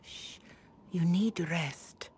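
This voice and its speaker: A woman playing a gentle, grave healer in a video game, speaking in a hushed half-whisper. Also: on the low side, drawn-out words. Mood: soothing. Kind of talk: video game cutscene acting. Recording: faint ambient noise behind.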